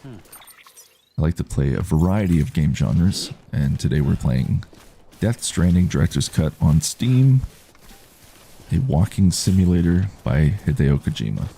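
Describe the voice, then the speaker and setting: A man with a baritone voice giving calm, unhurried commentary while he plays a game and reads chat on a live stream. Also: creaky, a little hiss or hum. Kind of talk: relaxed live gameplay commentary.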